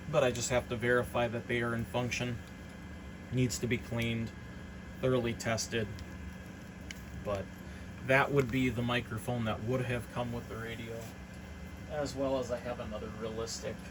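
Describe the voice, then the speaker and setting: A man with a mid-pitched voice talks through an informal hands-on demo. His delivery is unscripted and matter-of-fact, wandering a little as he goes. A steady, clearly audible background noise sits behind him. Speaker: hobbyist radio reseller presenting a demonstration. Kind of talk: informal product demonstration.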